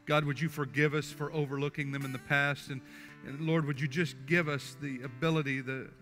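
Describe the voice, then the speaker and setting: Earnest older pastor, bright voice. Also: male voice, a little high, little pitch movement. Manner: earnest, halting with repeated restarts. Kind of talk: sermon and altar prayer. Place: church sanctuary stage. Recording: faint guitar music underneath. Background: soft guitar music.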